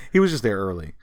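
A man co-hosting a casual, comedic history podcast, telling stories from notes in an animated way with casual swearing. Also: fairly low pitch, no echo. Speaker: podcast co-host.

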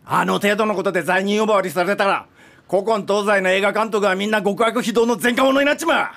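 An actor playing a stubborn, hot-tempered elderly film director in a radio drama, talking in coarse, blustering language. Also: male voice, sits high, brisk, a bit bright. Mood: indignant, blustering. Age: older. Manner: coarse, blustering rough speech.

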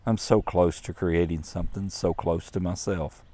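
The Lord as a proud, tireless male creator in a storybook poem, read out by a man. Earnest and unhurried, with a very low voice. He tells the story more than he acts it. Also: steady tone, dark tone, dry recording.

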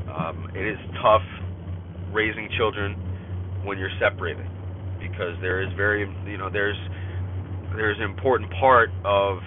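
A man in his thirties with a stuffy cold, hosting a podcast and talking through a casual spoken journal in a rambling way, full of fillers and restarts, while he drives. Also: lowish voice. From California. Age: 30s. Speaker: podcast host. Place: in a car while driving. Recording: phone-like line, faint car noise. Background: car road noise.

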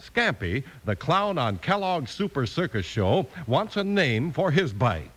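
A man announcing in scripted, emphatic 1950s radio style, as a show narrator and pitchman. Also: big pitch swings, a little slow, a bit bright, breathy edge. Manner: emphatic, promotional.

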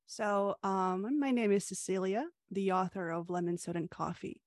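A woman's voice: mid-range voice, steady tone, normal speed, dry recording, clean studio sound. A young woman from Hungary, a comic creator answering interview questions in a chatty way with frequent fillers and laughs.

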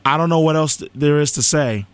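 Radio host guy, voice kinda high and hoarse, cracking, earnest, dry studio mic. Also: brisk, no room sound. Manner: earnest, heartfelt thank-yous and station promos. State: sore, cracking voice. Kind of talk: live college radio show hosting. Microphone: studio microphone.

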